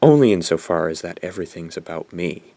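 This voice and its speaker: A man's voice: low voice, lively intonation, fairly quick, dark tone, dry recording. An actor playing a smug, self-absorbed teenage boy in an audio drama, narrating his confession in a pompous, dramatic way. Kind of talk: audio drama acting, first-person narration.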